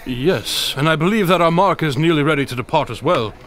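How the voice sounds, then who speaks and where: A man acting as an imperious gentleman detective in a radio drama. His voice sits a little high, and he phrases everything in pompous, formal, flowery language with great self-assurance. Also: lively intonation, brisk, background noise, natural voice. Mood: brisk, assured. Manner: pompous, formal, florid diction.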